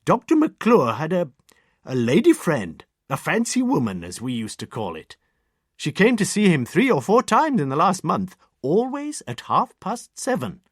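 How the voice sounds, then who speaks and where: A man doing a prim old woman, retired head nurse, deaf, lecturing, crisp dry sound. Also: fairly high pitch, huge pitch swings, moderate pace, no room sound, voice pushed up. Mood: tart, lecturing. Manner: precise, schoolmistress lecturing.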